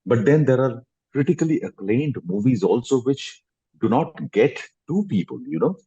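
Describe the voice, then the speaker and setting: A man's voice: mid-range voice, lively intonation, normal speed, mellow, slightly rough. A man in his fifties with an Indian English accent, a guest actor explaining his views over a video call, restarting phrases and rising with emphasis. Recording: dull lo-fi online call audio.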